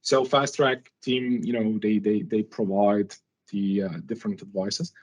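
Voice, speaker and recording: A man's voice: lowish voice, level tone, unhurried. A man who is a non-native English speaker gives a conversational technical presentation, informally, posing rhetorical questions as he explains. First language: not English.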